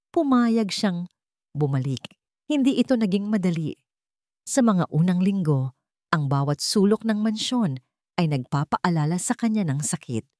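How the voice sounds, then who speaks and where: A woman reading a scripted dramatic story in a measured, sentimental way, phrase by phrase. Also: mid-range voice, darkish tone, dry recording, studio quality. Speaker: story narrator.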